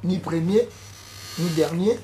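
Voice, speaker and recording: A man's voice: sits high, slow pace, dark tone. A young man from the Congo with a Congolese French accent, a musician answering interview questions in a casual, hesitant way, slipping between French and Lingala. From Democratic Republic of the Congo. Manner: hesitant, with frequent fillers and repeated words. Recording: light background noise.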